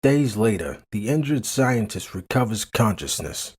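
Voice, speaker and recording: A man's voice: fairly low pitch, big pitch swings, a little slow, husky. A man giving theatrical, portentous scripted narration of a comic book story.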